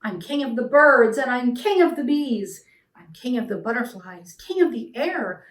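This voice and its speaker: A woman's voice: fairly high pitch, animated, normal speed, close to an everyday voice. A woman voicing a proud, boastful turtle king in a rhyming storybook, with a pompous, bellowing manner. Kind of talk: rhyming picture-book read-aloud. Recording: echoey room, distant mic.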